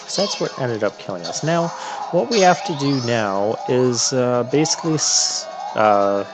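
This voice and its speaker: The unhurried guy on a gaming channel, feeling his way through each explanation while the game's soundtrack plays on behind him. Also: mid-range voice. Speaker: gamer narrating a playthrough. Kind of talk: casual game commentary. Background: video game music.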